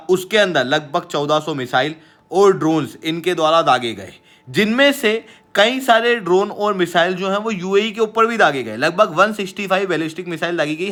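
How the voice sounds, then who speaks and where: A man's voice: on the high side, fairly bright tone. An Indian man presenting as an online teacher, explaining current affairs emphatically and mixing in English phrases.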